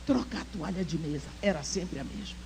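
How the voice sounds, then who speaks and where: A woman's voice: low-pitched, lively intonation, brisk, creaky, a little hiss or hum. An older woman speaking at a church women's conference, telling a personal story with feeling, dropping to near-whispers and rising to urge her listeners on. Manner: heartfelt storytelling, swings between soft near-whispers and emphatic exhortation.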